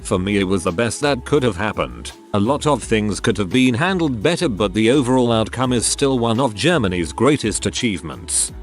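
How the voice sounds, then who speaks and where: A man narrating a series of reader-submitted stories in a measured, even reading style. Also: lowish voice, soft background music. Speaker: narrator reading online posts. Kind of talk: scripted narration.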